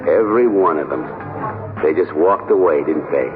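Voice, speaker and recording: An accusing inner voice in an old radio drama. It is deep, mocking and needling. The sound is tinny and narrow, with prominent music behind it. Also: male voice, normal speed. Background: loud music.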